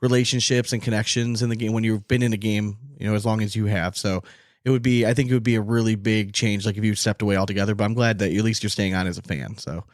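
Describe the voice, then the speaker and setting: A man's voice: fairly low pitch, steady tone, fast talker, no echo, clean studio sound. A man hosting a sports podcast in casual conversation, asking long, rambling questions. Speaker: podcast host.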